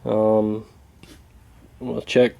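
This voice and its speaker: A man giving a casual hands-on repair walkthrough in a folksy, hesitant, unhurried way. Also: on the low side, little pitch movement, gravelly, warm tone, highs cut off. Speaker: radio repair hobbyist demonstrating.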